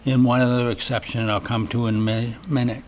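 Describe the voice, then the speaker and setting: A man's voice: medium pitch, flat delivery, a little fast, a little hiss or hum. A man from Boston with a Boston accent, giving a folksy, joking acceptance speech to an audience at an event. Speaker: award acceptance speaker, trail maintainer. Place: event hall before an audience.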